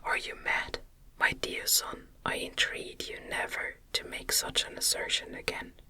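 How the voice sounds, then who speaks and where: A woman voicing a worried, loving older father who pleads tenderly in a breathy whisper, in a storybook reading. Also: a little slow, bright, forceful tone. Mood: alarmed pleading.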